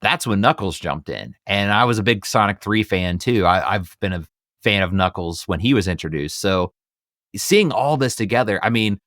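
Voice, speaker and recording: A man's voice: lowish voice, animated, moderate pace, fairly bright tone, dry recording, clean studio sound. A man hosting a casual film discussion, talking in an enthusiastic, conversational fan's way. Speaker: podcast host.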